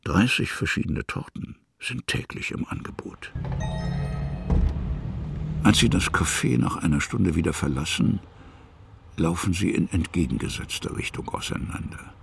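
A man reading literary scripted narration in a measured way, with a gravelly, husky voice and pauses between short phrases. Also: deep voice, expressive, fairly bright tone, a little hiss or hum.

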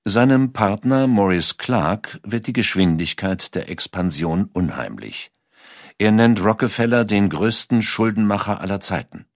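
A man's voice: on the low side, animated, measured pace, dry recording, studio quality. A man reads scripted documentary narration as an audiobook narrator.

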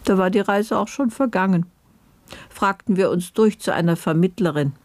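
A woman's voice: medium pitch, moderate pace, darkish tone, no echo, natural voice. An actress playing an elderly grandmother who narrates memories of her youth as a housemaid in a German radio play, reflective and vivid. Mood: reminiscing. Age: older.

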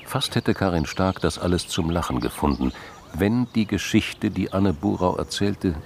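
A man's voice: sits low, normal speed, creaky, darkish tone, a little hiss or hum. A man reading scripted narration in a crime radio play.

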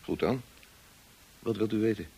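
An actor in a Dutch radio drama playing a kind, discreet and loyal estate manager, courteous and measured in his speech. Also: fairly low pitch, creaky, mellow, breathy edge, exaggerated voice. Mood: guarded, frank.

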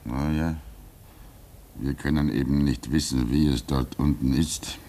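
An actor in a German radio play as a gentle, fatherly veteran of a lonely polar station, soothing, hesitant and measured. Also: rumbling low, steady tone, heavily acted. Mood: calm, wistful.

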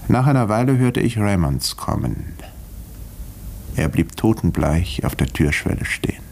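An educated doctor telling his own story in a 1950s radio whodunit. The voice is fairly low, with measured, sober and precise literary phrasing. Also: big pitch swings, gravelly, a little mellow, a little hiss or hum, full character voice. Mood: grave, precise. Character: educated, sober male doctor recounting a murder. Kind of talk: first-person radio play narration.

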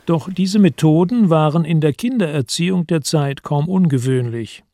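An actor as a sober chronicler, giving composed, factual, literary narration in a radio play. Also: male voice, on the high side, expressive, moderate pace, dark tone. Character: sober male chronicler of royal history. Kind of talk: scripted narration.

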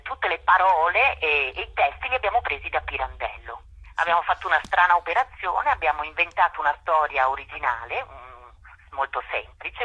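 Husky, bright woman on the phone. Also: low-pitched, lo-fi sound. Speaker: theatre company member, interview guest. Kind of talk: phone interview, explanatory talk. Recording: telephone connection.

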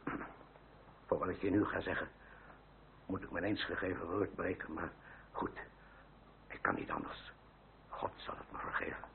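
An actor playing a grumbling, soft-hearted old uncle in a radio drama, speaking haltingly and emotionally with a gravelly voice. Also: male voice, low voice, steady tone. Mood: pleading, agitated. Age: older. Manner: halting, emotional, with sighs and interjections. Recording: crackly, narrow-band old radio recording.

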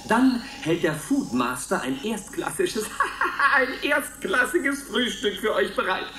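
A man's voice: high voice, animated, slow, roomy sound, background noise. A man acting in a radio play as a self-satisfied, falsely cheerful minder of a futuristic colony, with a sing-song officious manner. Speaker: activity supervisor.

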